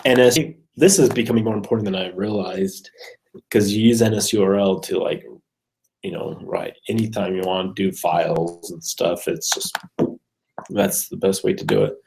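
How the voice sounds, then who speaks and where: Man, lowish pitch, lots of vocal fry, casual code walkthrough, hesitant, thinking aloud. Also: steady tone, normal speed, a little mellow, low-quality recording. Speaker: programming student showing code.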